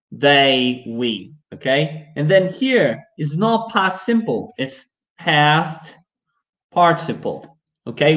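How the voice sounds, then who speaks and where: A young adult man with a Brazilian Portuguese accent teaching a live online English class, chatty and full of fillers. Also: mid-range voice. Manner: chatty, many fillers like "you know". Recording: dull lo-fi stream audio.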